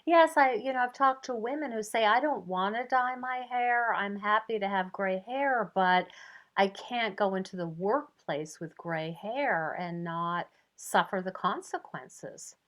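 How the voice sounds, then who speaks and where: A woman's voice: mid-range voice, a little slow. A woman hosting an interview, affirming her guest often and asking open questions. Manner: affirming, many soft "mm-hmm"s. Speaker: interview host. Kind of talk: casual interview conversation.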